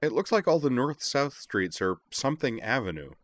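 A man's voice: mid-range voice, very animated, normal speed, dry recording, voice pushed up, lightly acted. A man voicing an observant, helpful woman in an audiobook, earnest and explanatory in manner.

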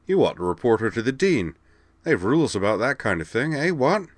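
A narrator plays a proper young man, a student in a story. He is indignant and blustering, his voice pushed higher and louder than plain narration. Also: big pitch swings, a little fast, no room sound. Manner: blustering, emphatic.

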